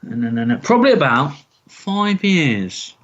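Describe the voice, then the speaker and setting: A man's voice: a little high, big pitch swings, measured pace, fairly bright tone, dull audio. A man co-hosting a comedy podcast, answering quiz questions with jokes and laughs.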